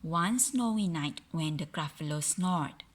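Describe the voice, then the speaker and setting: A woman's voice: low-pitched, lively intonation, a little slow, background noise. A woman reading a children's story aloud in a dramatic, theatrical narrating style. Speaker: storybook reader.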